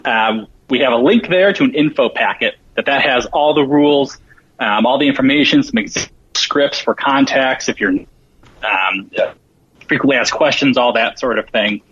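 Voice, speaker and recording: A man's voice: mid-range voice, moderate pace, fairly bright tone, breathy edge, muffled recording. A man speaking as a guest, explaining things in a casual, informative way. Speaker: guest, event organizer.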